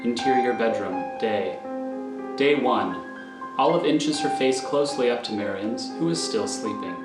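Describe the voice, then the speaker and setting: A male narrator reads scripted scene directions for an audio thriller. His mid-pitched voice has noticeable room echo, and music plays prominently under it. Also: a little slow.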